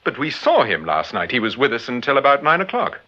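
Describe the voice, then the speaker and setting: An actor playing a suave, courteous amateur detective and devoted husband, with a clipped Received Pronunciation English accent in mid-century radio drama style. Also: male voice, mid-range voice, sing-song, brisk, sharp tone. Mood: composed, businesslike. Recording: muffled, noisy old radio recording.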